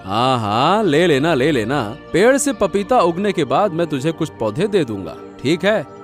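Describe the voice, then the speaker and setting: Man acting an earnest village farmer, higher voice. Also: big pitch swings, measured pace. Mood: puzzled, casual. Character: bereaved poor village farmer husband, earnest, stoical. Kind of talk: Hindi moral-story cartoon dub. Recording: music playing behind the voice.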